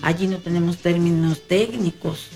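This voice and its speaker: A woman's voice: on the low side, moderate pace, warm tone, faint music behind. A woman in casual conversation, talking hesitantly with many fillers and restarts.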